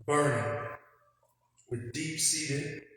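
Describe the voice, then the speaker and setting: Slow, solemn man in an echoing hall. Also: a little high, a bit bright. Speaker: moderator opening a panel discussion. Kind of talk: formal public address. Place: large indoor hall with long reverb. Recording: very echoey hall with faint noise.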